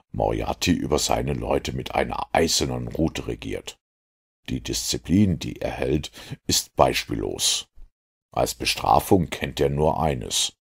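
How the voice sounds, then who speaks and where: A man voicing a self-assured master detective who lectures in a measured, superior way in an audiobook. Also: extremely low pitch, gravelly, breathy edge, full character voice. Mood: analytical. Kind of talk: audiobook character dialogue.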